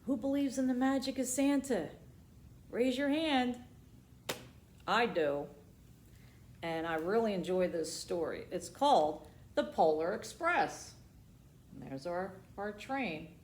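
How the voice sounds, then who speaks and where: A woman hosting a storytime, reading aloud expressively for children and dropping in asides, moving between hushed and emphatic delivery. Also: medium pitch, expressive, takes it slowly, pauses often. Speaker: library storytime host. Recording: roomy echo with faint background noise.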